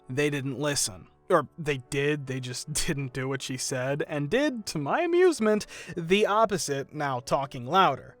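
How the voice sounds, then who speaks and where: A man narrating a reader's story in a lively, expressive way, now and then laughing softly. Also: fairly high pitch.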